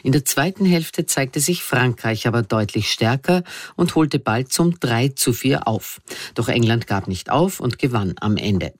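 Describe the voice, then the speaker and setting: A man reading scripted news in simple language in a measured, structured manner. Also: mid-range voice, dry recording, studio quality. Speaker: newsreader.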